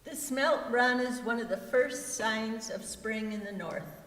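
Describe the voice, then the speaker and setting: A woman narrates a scripted story at a slow pace for readers theater. She sounds far from the microphone, with heavy echo around her voice. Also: fairly high pitch, fairly bright tone, a little hiss or hum. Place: large reverberant hall. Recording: echoey hall, distant microphone.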